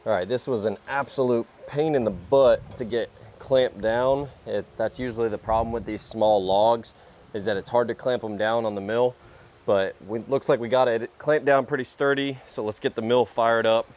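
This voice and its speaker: A young man hosting a casual workshop vlog, talking in a relaxed way as he works at a sawmill under an open-sided shed. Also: medium pitch, normal speed. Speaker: sawmill channel host.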